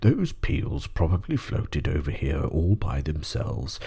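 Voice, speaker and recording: A man's voice: very deep voice, very animated, slow pace, gravelly, mellow, slightly rough, full character voice. A man voicing a self-assured storybook wild boar with a solemn, dismissive manner.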